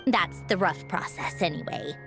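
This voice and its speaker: A woman voicing a lively, confident, teasing young female official in a video game, with a breezy, expressive delivery. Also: on the low side, normal speed, gravelly. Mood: brisk, matter-of-fact. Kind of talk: scripted video game voice acting. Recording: game music behind the voice.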